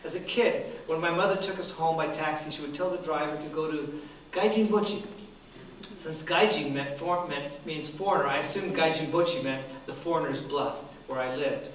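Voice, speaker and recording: Middle-aged man from Japan, author reading his memoir aloud, dry and wry, slightly high voice, recorded from a distance in a very echoey hall. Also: normal speed, a little hiss or hum. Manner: dry, self-deprecating reading with soft laughs. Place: large public hall. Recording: very echoey hall from far off, faint ambience.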